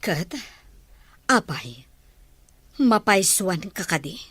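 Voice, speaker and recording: A woman with an Ilocano accent playing a questioning, reproachful woman of the household in a radio drama, with a husky voice. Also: medium pitch, lively intonation, extremely slow, fairly bright tone, dry recording, heavily acted.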